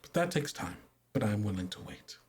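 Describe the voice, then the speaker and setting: A man playing a gentle but insistent female religious authority, speaking formally, haltingly and patiently in a tabletop game. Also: lowish voice, sing-song. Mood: calm, unyielding.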